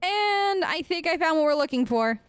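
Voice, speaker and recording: A woman's voice: very high-pitched, expressive, normal speed, no room sound. A woman hosting a let's play, chatting casually and playfully while role-playing her character.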